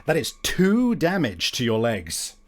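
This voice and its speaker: Guy running a tabletop rpg, mid-pitched voice, describes stuff off the cuff, quiet music behind. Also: wildly swooping pitch, measured pace. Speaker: game master. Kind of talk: improvised tabletop game narration. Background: faint music.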